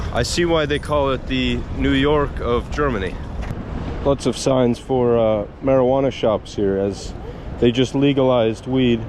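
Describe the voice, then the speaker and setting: A man's voice: mid-range voice, a little mellow, a little hiss or hum. A man narrating a casual travel vlog, with faint outdoor city sound behind him. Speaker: travel vlogger.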